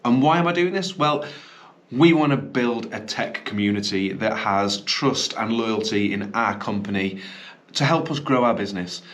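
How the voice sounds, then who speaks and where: Chatty man with a cough. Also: lowish voice. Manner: chatty and upbeat, with ums and self-corrections. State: slight cough. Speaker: business owner and channel host. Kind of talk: casual vlog to camera. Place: small indoor studio room.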